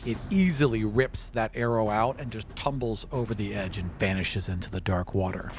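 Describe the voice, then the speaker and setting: A man's voice: fairly low pitch, moderate pace, slight background noise. A man narrating as game master of a tabletop game, in a loose, storytelling way full of casual asides.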